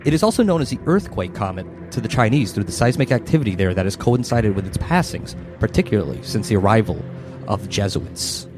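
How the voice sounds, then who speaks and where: A man's voice: fairly low pitch, expressive, fast. Man narrating a tabletop game over music. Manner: mixes read-out narration with casual improvised asides. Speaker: game master. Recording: music bed behind voice.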